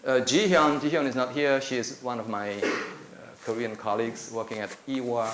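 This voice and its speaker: Middle-aged Indonesian lecturer, native Indonesian speaker, average pitch, chatty with filler pauses; echoey room with faint hiss. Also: male voice, fairly even intonation. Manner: conversational, with many filler pauses. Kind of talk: conference talk. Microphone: handheld mic.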